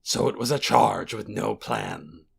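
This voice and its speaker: A man voicing a proud, calculating monstrous warrior in an audiobook, with a raspy, gravelly voice and a haughty, deliberate, formal way of speaking. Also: fairly low pitch. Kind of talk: audiobook character voice.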